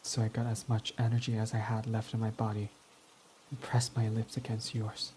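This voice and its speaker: A man's voice: fairly low pitch, monotone, moderate pace, dark tone, a little hiss or hum, natural voice, poor audio. A man voicing a proud, defensive young male villain in an ASMR roleplay who swears when flustered, over faint rain. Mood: quiet, confessing. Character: proud tsundere young male villain, gruff but smitten.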